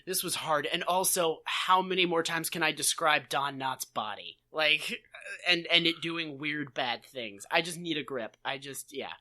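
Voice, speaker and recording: A man co-hosts a podcast in a casual, joking style. His voice is bright and forceful. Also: on the high side, a little slow. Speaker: podcast co-host. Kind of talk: casual comic chat.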